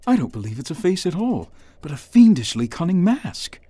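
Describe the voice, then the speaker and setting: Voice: medium pitch, moderate pace, slightly rough, no room sound. An actor playing a curious, nervous man who is wondering at strange sights and growing uneasy in a radio drama. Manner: excitable, wondering, increasingly uneasy. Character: nervous, curious young man exploring the past.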